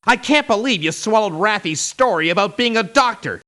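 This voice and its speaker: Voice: mid-range voice, animated, normal speed, bright, forceful tone. An actor voicing a principled, picky mercenary doctor in a video game, speaking formally and precisely with dry disdain. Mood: indignant. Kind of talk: video game character voice lines.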